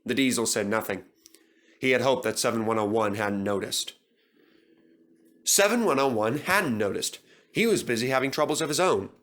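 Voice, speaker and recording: Male storyteller for scripted children's narration, mid-range pitch. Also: lively intonation, moderate pace, long pauses, a bit bright.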